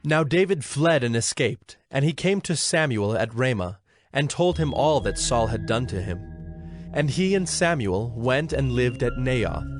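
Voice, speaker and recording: A man's voice: mid-range voice, expressive, a little slow. A man narrating scripture from a script as the narrator of a dramatized audio production. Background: music under the voice.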